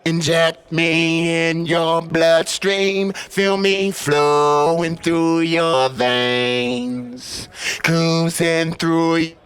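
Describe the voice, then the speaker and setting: A man delivers a rhythmic vocal line at an extremely slow pace, run through a vocoder and pitch quantizer. The tone is creaky yet crisp and smooth. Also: a bit bright. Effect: processed through vocoder and pitch quantizer. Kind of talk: vocal sample for a plugin demo, slow and rhythmic.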